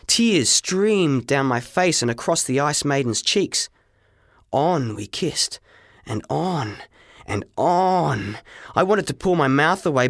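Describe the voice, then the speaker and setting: A man narrating a scripted first-person story as an audiobook, with dramatic, expressive delivery. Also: on the high side, dry recording, clean studio sound.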